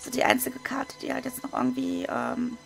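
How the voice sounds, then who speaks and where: Hoarse woman with a gravelly voice. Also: on the high side, normal speed, faint music behind. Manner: relaxed, with frequent hesitation fillers. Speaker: card unboxing video host. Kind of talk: casual unboxing commentary. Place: indoors at a desk, hands over table. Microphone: small camera-mounted microphone.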